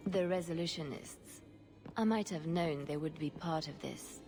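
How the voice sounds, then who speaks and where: A woman playing a composed, grave secret agent in a fantasy video game, speaking in a measured, deliberate way. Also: deep voice, over music.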